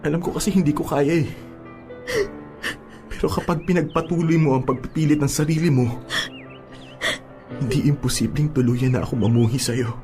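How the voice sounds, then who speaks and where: A man's voice: fairly high pitch, fairly even intonation, moderate pace, pauses often, warm tone, close to an everyday voice. An actor playing an exasperated, anguished young man with a Filipino accent in an earnest radio drama style, mixing in English. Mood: pained warning. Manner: earnest, emphatic, switches between Tagalog and English. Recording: music bed under the dialogue. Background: music.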